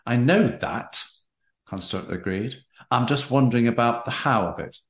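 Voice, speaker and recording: A man hosting a book interview, addressing the audience deliberately and reading aloud. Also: mid-range voice, animated. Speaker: podcast host. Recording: roomy, echoey sound.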